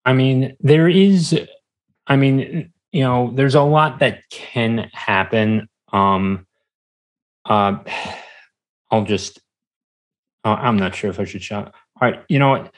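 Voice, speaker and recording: A man as a podcast guest, talking casually and haltingly as he searches for words. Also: medium pitch, poor audio. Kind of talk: casual conversational interview.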